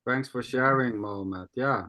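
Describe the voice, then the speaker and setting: A man hosting a video call, calmly explaining nondual ideas in a teaching tone. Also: mid-range voice, a little slow. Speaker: host. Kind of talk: spiritual teaching talk.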